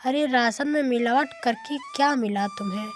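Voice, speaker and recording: A woman's voice: a little high, normal speed, over music, close to an everyday voice. A woman voicing disappointed, accusing villagers in a cartoon moral story.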